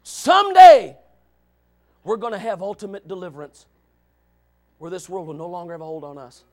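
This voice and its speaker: A male pastor delivering a fiery revival sermon in a strikingly high voice for a man. He shouts his big lines and throws in quick jokes at the congregation between them. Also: animated. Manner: loud, shouting, with joking asides to the congregation.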